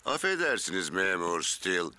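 A man's voice: sits low, sing-song, moderate pace, bright, forceful tone, clear, resonant tone, heavily acted. A man voicing a fretful concert organiser in a children's cartoon, anxious and emphatic. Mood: worried. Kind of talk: animated children's cartoon dubbing.

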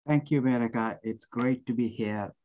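A man's voice: mid-range voice, normal speed, dull audio, slight background noise. A middle-aged man from Sri Lanka, a guest accountant, answering interview questions over a video call with many hesitations. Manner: hesitant, many fillers and restarts. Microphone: headset on video call.

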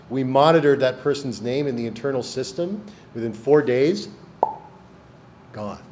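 A panelist in his thirties who speaks frankly, in a mid-range voice, with lively telling. He sits at a table microphone in a university lecture room, and faint room noise runs underneath. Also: mid-range voice. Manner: frank, animated storyteller. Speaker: panelist, public servant. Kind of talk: panel Q&A answer. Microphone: table microphone, seated.

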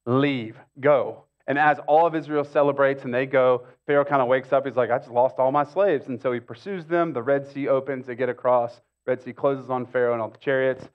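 A man's voice: mid-range voice, fairly even intonation, brisk, hardly any pauses, dull audio. A middle-aged American man, a pastor, giving a conversational sermon with casual asides and repeated phrases for emphasis. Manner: casual, with repetition and rhetorical asides. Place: stage of a church auditorium.

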